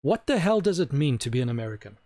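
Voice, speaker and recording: A man's voice: mid-range voice, very animated, warm tone, dry recording, studio quality. A man giving an opinionated solo monologue to camera, emphatic and repeating his points for stress. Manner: slows down to stress key words. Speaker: video host.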